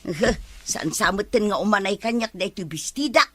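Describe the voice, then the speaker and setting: A woman's voice: mid-range voice, expressive, moderate pace, fairly bright tone, slight background noise, lightly acted. A woman playing a vain, nagging village wife who borrows things, whining in an Ilocano comedy drama.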